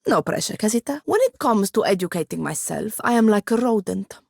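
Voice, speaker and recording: A woman's voice: medium pitch, big pitch swings, a little fast, creaky, darkish tone, husky, dry recording, full character voice. A woman playing a proud, sardonic young woman wizard in a video game, speaking formally with dry wit. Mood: wry, brisk. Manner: dry, wry, formal phrasing. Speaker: game companion character. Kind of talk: scripted video game dialogue.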